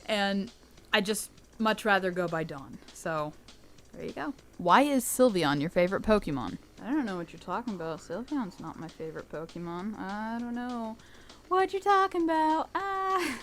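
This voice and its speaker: A young American woman who hosts her channel, answering fan questions in a candid, chatty way with a low, rich voice. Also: lively intonation, normal speed. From United States. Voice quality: low rich voice, sometimes taken for a boy. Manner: chatty and candid, with laughs and restarts. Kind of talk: casual Q&A monologue.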